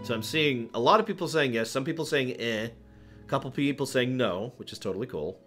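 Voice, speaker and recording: A man's voice: mid-range voice, lively intonation, a little fast, fairly bright tone, faint music behind. A man hosting a livestream, chatting casually and opinionatedly with his viewers in an emphatic, conversational way. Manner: emphatic, conversational, breaks off to address chat. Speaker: livestream host.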